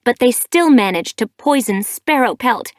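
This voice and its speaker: A woman's voice: on the high side, normal speed, no echo, studio quality, voice pushed up, natural voice. A woman reading an audiobook, voicing a young she-cat mother who is grieving and angry, with a raised, accusing voice. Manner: accusing, bristling.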